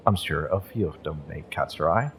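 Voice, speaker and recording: A man acting a gentle, courteous witch who runs a magick shop and reads tarot, speaking politely and a little hesitantly in a roleplay audio. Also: rumbling low, brisk, a little hiss or hum. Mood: inviting, courteous. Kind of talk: roleplay audio, acted monologue to the listener.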